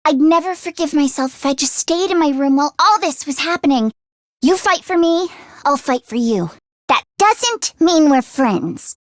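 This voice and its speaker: A woman voicing an anxious, earnest teenage girl mechanic in a video game, with an expressive, hesitant delivery. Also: high voice, normal speed, dry recording, clean studio sound. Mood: resolute. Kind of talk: English video game voice acting, isolated voice lines.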